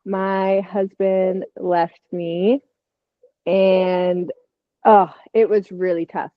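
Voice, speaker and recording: A woman's voice: medium pitch, flat delivery, very slow, no echo, dull audio. A woman in her twenties co-hosting a casual podcast, telling personal stories in a candid way. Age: 20s. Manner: candid, storytelling. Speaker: podcast co-host. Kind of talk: casual chat.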